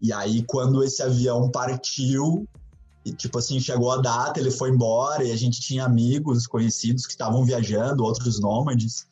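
A man recounts events in a loose, rambling way, leaning on fillers as he goes. His voice is mellow and sits at an ordinary pitch. The audio is somewhat dull and muffled, with faint music behind him. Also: normal speed. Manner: relaxed, rambling storytelling with fillers. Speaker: podcast guest. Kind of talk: casual chat.

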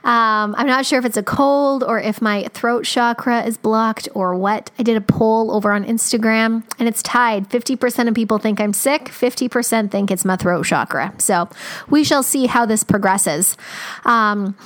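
A woman's voice: fairly high pitch, normal speed. A woman hosting a podcast, her voice a little scratchy today, talking in an upbeat, chatty way with many fillers. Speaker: podcast host. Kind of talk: casual podcast intro and interview.

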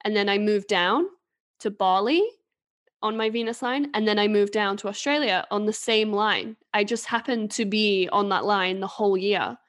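A woman from England rambles through a story on a casual podcast, restarting her sentences and laughing softly. Her pitch sits in the everyday middle. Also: moderate pace.

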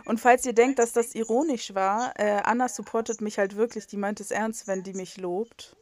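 A woman's voice: medium pitch, steady tone, normal speed, a little mellow. A young woman from western Germany hosting a casual podcast over a video call, rambling and self-mocking, with lots of fillers and restarts. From North Rhine-Westphalia, Germany. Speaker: podcast co-host. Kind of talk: casual chat. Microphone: video call recording.

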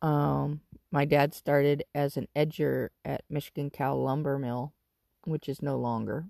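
A woman in her fifties from Northern California, raspy from smoke, talking about her life in a loose, rambling monologue full of ums and restarts. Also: deep voice, little pitch movement, measured pace, mellow, no echo, studio quality. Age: 50s. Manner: rambling, with frequent ums and self-corrections. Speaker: podcast host. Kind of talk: casual personal monologue.

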